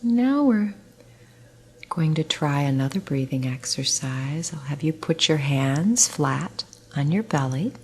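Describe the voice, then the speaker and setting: A middle-aged woman, a nurse, leading a guided relaxation session in a soft, soothing voice that often drops to a near whisper. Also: low-pitched, lively intonation, slow pace, husky.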